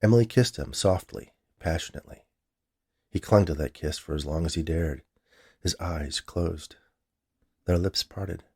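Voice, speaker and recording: A man narrates a short story in a very low register. His tone is dark and mellow, and he reads as a writer presenting his own scripted fiction. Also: unhurried, gravelly, pauses often, slightly rough.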